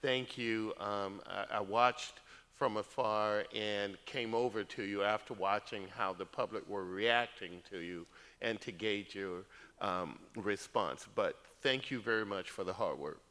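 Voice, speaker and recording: A man's voice: lowish voice, unhurried, long reverb tail. A male council member giving earnest, rambling remarks at a meeting. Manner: earnest, rambling, lively asides. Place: council chamber.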